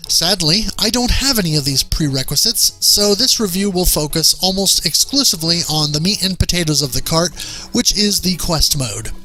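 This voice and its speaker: A man hosting a video game review as scripted narration, delivered in a measured, theatrical dramatic-reading manner. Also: high voice, lively intonation, bright, forceful tone, soft background music, poor audio.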